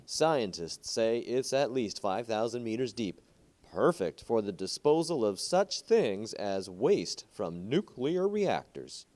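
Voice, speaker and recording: A man voicing an eager, pushy businessman from a short story, with an emphatic sales pitch. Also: big pitch swings, takes it slowly, darkish tone. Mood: promotional. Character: eager, pushy male concessionaire hawking a deep hole.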